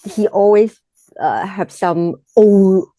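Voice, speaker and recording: A woman with a Cantonese accent speaks slow, broken English, pausing often to find her words. Her voice is soft and warm with a mellow tone. The sound is dry and close, with no room echo. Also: mid-range voice. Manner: halting, searching for words in simple English. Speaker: exam candidate. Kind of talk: mock speaking exam answers.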